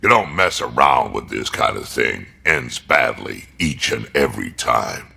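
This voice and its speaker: A battle-hardened male alien warrior from a video game, speaks in a rock-bottom, gravelly voice with a hard, forceful edge. He is blunt and ruthless, clipping his words like a soldier. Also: animated, unhurried, full character voice. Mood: grim, ruthless. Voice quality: very deep, gravelly.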